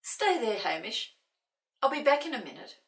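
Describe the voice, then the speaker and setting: A woman's voice: a little high, big pitch swings, normal speed, voice pushed up. A woman voicing a friendly, kindly grandpa in a storybook, hearty and affectionate. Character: friendly, kindly grandpa who fusses over a cat. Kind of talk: storybook character voice. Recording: roomy, distant microphone.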